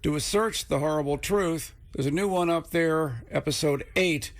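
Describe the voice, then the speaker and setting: A man's voice: on the high side, moderate pace. A man working as a radio DJ, talking in a casual, conversational way between songs on a close studio mic. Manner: chatty, conversational. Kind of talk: casual music radio DJ patter. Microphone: close studio radio microphone.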